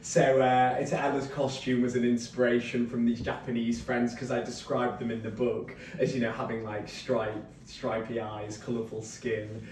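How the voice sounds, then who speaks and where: A man giving an informal author talk, explaining his writing process in a rambling, animated way. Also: normal speed, few pauses. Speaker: novelist discussing his book at a reading. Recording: roomy, distant mic, faint rumbling noise.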